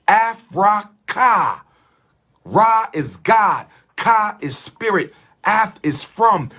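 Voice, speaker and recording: A husky-voiced man delivering a fiery speech. His pitch swings widely as he drives each point home. He leans on repetition in a rolling, chant-like cadence. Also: a bit bright. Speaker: speaker addressing an audience. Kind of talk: impassioned oratory.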